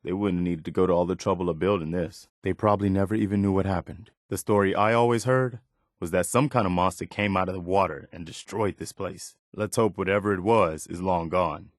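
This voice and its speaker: Casting: male actor as steadfast American wasteland militia officer for a game companion role, lowish voice, plainspoken, very dry close sound. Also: steady tone, brisk, no echo, natural voice. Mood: curious, wary. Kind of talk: video game companion voice lines.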